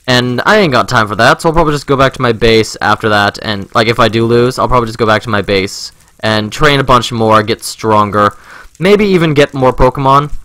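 Bright-voiced man rambling over gameplay. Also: mid-range voice, a little fast, dry recording. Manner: informal, rambling, thinking aloud. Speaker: gaming video host. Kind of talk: casual let's-play commentary.

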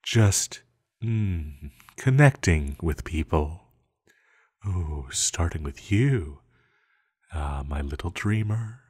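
An ancient male villain played by a man speaks very low and very slowly, as if in an intimate audio roleplay. He is seductive and possessive, teasing as he schemes, with sighs and lingering pauses. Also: lively intonation, darkish tone, husky, heavily acted. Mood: wistful, teasing.

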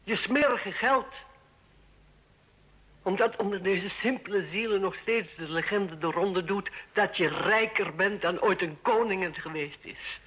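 Noblewoman wife, proud and bitter. Husky, theatrical reproach, muffled old radio play sound. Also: medium pitch, unhurried. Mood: scornful, accusing. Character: proud, bitter, lonely noblewoman wife pleading with her husband. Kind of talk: old Dutch radio drama acting.

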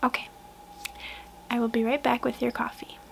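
A young woman doing a soft-spoken ASMR roleplay, hushed and gentle, often slipping into whispers, close to the mic in a small room. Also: on the high side, flat delivery, normal speed, gravelly, slight background noise. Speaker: ASMR roleplayer playing a portrait painter. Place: small wood-panelled room indoors.

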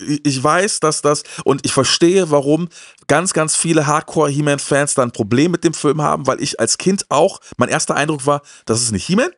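A man's voice: fairly high pitch, normal speed, no echo, clean studio sound. A man, hosting a casual, enthusiastic fan chat and repeating words for emphasis. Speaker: host of a retro TV talk show. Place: small indoor room with shelves of media. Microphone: handheld microphone.

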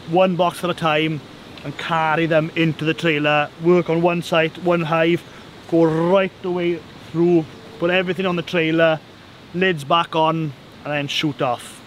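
Relaxed beekeeper in his thirties. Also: fairly high pitch, slight background noise, low-quality recording. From Wales. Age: 30s. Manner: relaxed, repeats words as he talks. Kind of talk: casual interview chat. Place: farm kitchen and woodland hive site.

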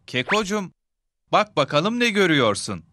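A man's voice: high-pitched, very animated, normal speed, pushed and bright, no echo, clean studio sound, full character voice. A man playing a kindly father in a children's cartoon, giving advice in an affectionate, instructive way. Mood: warmly approving. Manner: affectionate, didactic.

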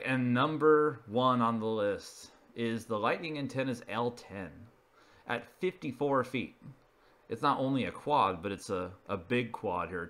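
A man's voice: mid-range voice, a bit bright. A man presenting an informal narrated slideshow, explaining each item in a matter-of-fact way. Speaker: video presenter.

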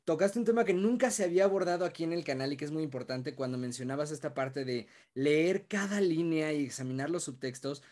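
A young man hosting an interview over a video call with earphones, speaking in a measured, explanatory way. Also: fairly high pitch, darkish tone. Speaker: interviewer, channel host. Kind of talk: interview host. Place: home room, video call.

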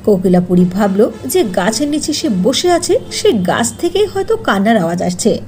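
A woman's voice: fairly high pitch, big pitch swings, a little fast, darkish tone. A woman narrating a scripted fairy tale as a storyteller. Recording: faint noise under voice-over.

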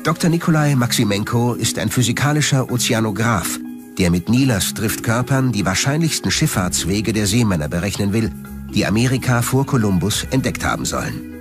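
Man, documentary narrator, scripted delivery, animated melody, background music. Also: on the low side, a little fast. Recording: music behind the voice.

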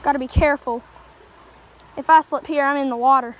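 A woman's voice: high-pitched, fairly even intonation, brisk, rough recording. A woman, chatting easily to viewers while fishing by a mountain creek. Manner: chatty, easygoing, talks to viewers. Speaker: fishing vlogger. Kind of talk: casual running commentary while fishing. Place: outdoors by a wooded mountain creek. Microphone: handheld phone camera.

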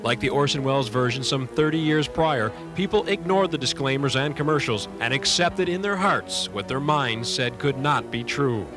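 A man's voice: mid-range voice, normal speed, hardly any pauses, music in the background. A man reading scripted documentary narration in the role of narrator.

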